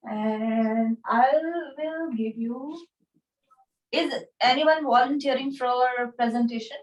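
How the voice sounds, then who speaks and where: Slow-paced Indian-accented woman teacher. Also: fairly high pitch, muffled recording. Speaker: anatomy teacher at a yoga school. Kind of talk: informal online lesson. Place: small room with a whiteboard, indoors. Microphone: device camera a short distance away, video call.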